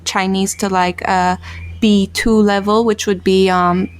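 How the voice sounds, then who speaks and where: A woman's voice: medium pitch, level tone, measured pace, smooth, dry recording. A woman in her twenties from Belize, a podcast guest telling her story in an earnest, reflective way. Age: 20s. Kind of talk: podcast interview.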